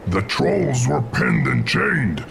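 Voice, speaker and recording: Fierce, gravelly orc lieutenant. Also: male voice, medium pitch, sing-song, background noise, exaggerated voice. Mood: proud reporting. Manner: brisk, formal military reporting. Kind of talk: video game cutscene acting. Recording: loud music underneath.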